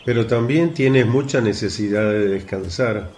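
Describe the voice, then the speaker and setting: A man playing a low-voiced, concerned disciple. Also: unhurried, a little mellow, natural voice. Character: concerned, questioning male disciple of Jesus. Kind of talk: devotional radio play acting. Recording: faint noise with birdsong.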